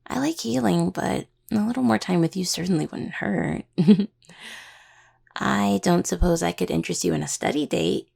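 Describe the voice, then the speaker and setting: A woman playing an affectionate, playfully stubborn young necromancer healer in an intimate roleplay audio, with a husky voice and a halting, casual, teasing way of talking. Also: fairly low pitch, darkish tone. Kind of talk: intimate roleplay audio, one-sided dialogue to listener.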